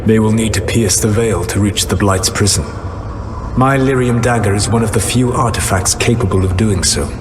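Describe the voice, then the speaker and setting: Grave ancient elf god talking slow and formal, lowish voice, noisy game audio behind, a man voicing him. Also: natural voice. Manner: calm, deliberate, formal speech. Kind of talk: video game cutscene acting.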